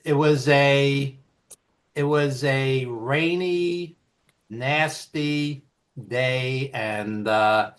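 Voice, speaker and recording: An older man with a thick Brooklyn accent, speaking at an unhurried, deliberate pace in a middle-range voice. He reminisces in a rambling, nostalgic way, full of "uh"s and restarts. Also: mid-range voice, fairly bright tone. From East Flatbush, Brooklyn, New York. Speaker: guest, author and filmmaker. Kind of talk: casual storytelling in an interview.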